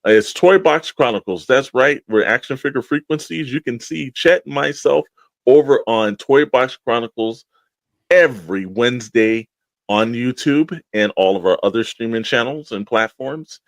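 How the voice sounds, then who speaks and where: A man's voice: medium pitch, animated, normal speed, dry recording. A man co-hosting a podcast, talking in a rambling, enthusiastic, informal way as he plugs shows. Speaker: podcast co-host. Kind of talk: casual promotional podcast chat.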